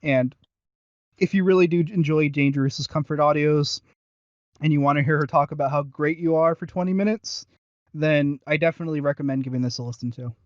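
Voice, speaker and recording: A man co-hosting a casual review podcast, talking in a rambling, halting but enthusiastic way. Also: fairly high pitch, no echo, dull audio. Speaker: podcast co-host reviewing audio roleplays.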